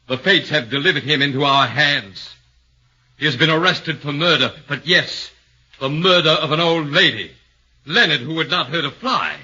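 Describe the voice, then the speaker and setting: An actor playing a forceful, dignified defence lawyer in 1940s radio drama, oratorical, insistent and formal. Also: male voice, on the high side, slightly rough, full character voice. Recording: dull 1940s radio recording.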